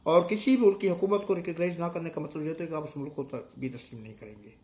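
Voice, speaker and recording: A man's voice: on the high side, quick pace, dark tone. A middle-aged Pakistani man with a sore throat, giving emphatic, unscripted political commentary straight to his viewers with repetition for effect. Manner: emphatic, rhetorical questions and repetition.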